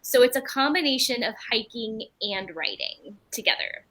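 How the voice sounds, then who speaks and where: A woman's voice: fairly high pitch, expressive, moderate pace, pushed and bright, poor audio. A woman from Belgium whose first language is French, a writer and retreat leader answering interview questions in an earnest, candid and reflective way.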